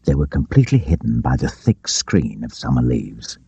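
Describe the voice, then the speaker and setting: A man narrating an adventure audiobook in an expressive, theatrical storytelling style. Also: extremely low pitch, normal speed, vocal fry, breathy edge, dry recording. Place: dry studio. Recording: dull, lo-fi tape audiobook.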